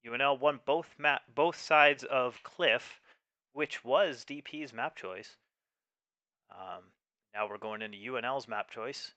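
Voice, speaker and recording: A man commentating live on an esports tank match as streamer, with a halting delivery that repeats words. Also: mid-range voice, sharp tone, no room sound, dull audio.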